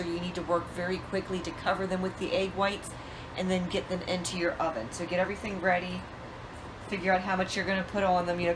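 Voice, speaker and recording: A woman's voice: lowish voice, background noise. A middle-aged woman giving plain step-by-step cooking instructions in her home kitchen, with some fillers, picked up by a camera mic a few feet away. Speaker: cooking channel host.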